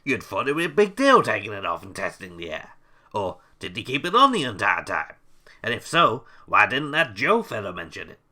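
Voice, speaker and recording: Man acting a pompous scientist, sharp and animated. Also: on the low side, fairly quick, exaggerated voice. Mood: nitpicking. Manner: pompous, musing to himself. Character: eccentric, self-important male scientist, breezily callous. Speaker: in-story podcast presenter. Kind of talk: audio drama monologue.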